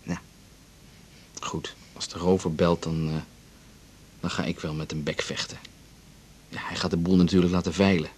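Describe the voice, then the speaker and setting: A practical husband in a radio drama, with a low, husky voice. He is probing and a touch sardonic, halting when unsure of his words. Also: little pitch movement, a little mellow.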